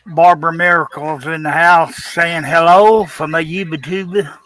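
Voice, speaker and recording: A man's voice: on the high side, steady tone, normal speed, a bit bright, no room sound. A man co-hosting a live stream, reading the chat aloud in a folksy, teasing way.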